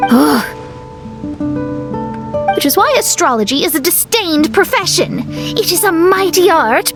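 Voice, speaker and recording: A proud young astrologer from a video game. Her voice is high and she speaks formally and earnestly, with lofty self-assurance. Music plays clearly behind her. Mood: indignant.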